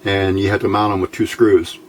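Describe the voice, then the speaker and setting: A man giving an informal hands-on electronics tutorial, talking haltingly as he thinks aloud. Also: low-pitched, little pitch movement, a little hiss or hum, low-quality recording. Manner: halting, thinking aloud while handling parts. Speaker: electronics hobbyist presenter.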